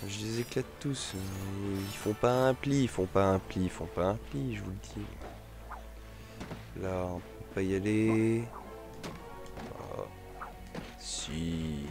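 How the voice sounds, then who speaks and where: A man's voice: low-pitched, slow, pauses often. A man with a head cold, giving casual, rambling gameplay commentary and repeating his phrases. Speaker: let's play host. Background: game music.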